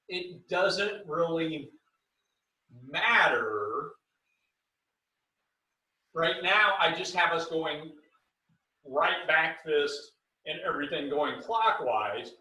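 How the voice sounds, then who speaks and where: Karate teacher guy on a zoom call, slow steady talk, kinda bright voice, sitting back from the mic in an echoey room. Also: fairly high pitch. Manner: calls out commands, relaxed and explanatory. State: bothered by low back pain. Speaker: martial arts instructor. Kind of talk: teaching drills over video call. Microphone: computer mic on a video call. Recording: roomy video call audio from a distance.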